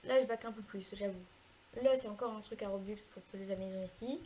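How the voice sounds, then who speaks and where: A woman with a cold explaining a video game in a chatty, hesitant way, pausing often to fill gaps while she plays. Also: mid-range voice, mellow, a little hiss or hum. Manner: chatty, hesitant, frequent filler pauses. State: has a cold, congested. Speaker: gaming video creator. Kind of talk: casual gameplay tutorial commentary.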